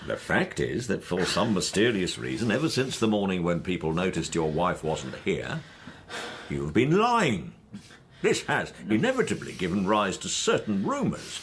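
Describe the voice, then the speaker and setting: An actor playing a formal, methodical police superintendent in a radio drama, questioning in a measured, insistent way. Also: male voice, sits low, huge pitch swings, slight background noise, full character voice. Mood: stern. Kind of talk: BBC radio drama dialogue.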